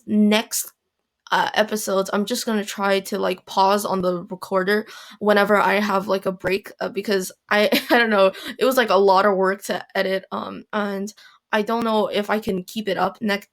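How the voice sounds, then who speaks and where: Hoarse teen girl, solo podcast host, raspy, rambling and self-deprecating, mid pitch. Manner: rambling, self-deprecating, many fillers and restarts. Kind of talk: casual solo podcast monologue. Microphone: home recording mic.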